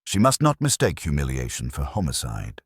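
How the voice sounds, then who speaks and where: A man voicing a deep, pompous armchair detective. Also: huge pitch swings, moderate pace, breathy edge, dry recording, clean studio sound, full character voice. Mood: stern, judging. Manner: pronouncing, formal, terse. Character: brilliant, pompous, stern male armchair detective.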